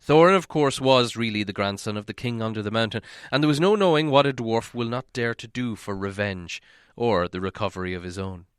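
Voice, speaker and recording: A man reading a fantasy story aloud in expressive scripted narration. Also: on the low side, fairly quick, few pauses, clean tone, no room sound, clean studio sound. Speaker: audiobook reader.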